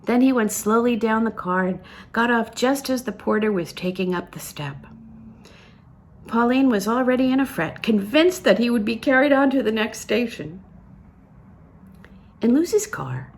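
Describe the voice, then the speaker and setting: A woman's voice: medium pitch, vocal fry, slight background noise. A woman reading literary fiction aloud as an audiobook, phrasing it expressively and with emphasis. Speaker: audiobook reader.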